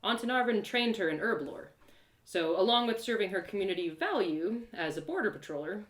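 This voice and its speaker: A woman hosting and narrating a story live in an expressive, theatrical way. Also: mid-range voice, echoey room. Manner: animated, theatrical. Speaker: storyteller and host of a live reading series.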